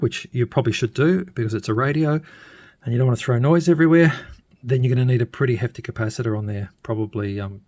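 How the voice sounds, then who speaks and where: A man giving a casual hands-on electronics demonstration, explaining informally and haltingly with frequent pauses. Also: lowish voice, no room sound. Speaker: electronics hobbyist presenter.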